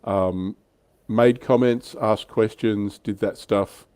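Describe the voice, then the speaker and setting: Low-voiced middle-aged man hosting casually. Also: fairly even intonation, takes it slowly, darkish tone, dry recording. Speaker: livestream host. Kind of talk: casual livestream chat about tabletop wargaming.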